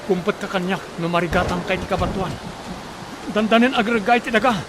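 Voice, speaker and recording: A man's voice: high-pitched, fairly quick, over music, close to an everyday voice. A man playing an anxious, protective man in danger in a radio drama. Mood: urgent, protective. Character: anxious, protective man guiding companions through landslide. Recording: loud outdoor storm-like noise.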